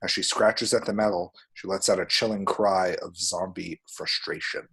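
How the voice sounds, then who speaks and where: A man's voice: sits low, fairly even intonation, moderate pace, vocal fry, fairly bright tone, breathy edge, low-quality recording. A man reading out a screenplay's action descriptions at a table read, with vivid, dramatic storytelling. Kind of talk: scripted screenplay narration with spoken sound effects.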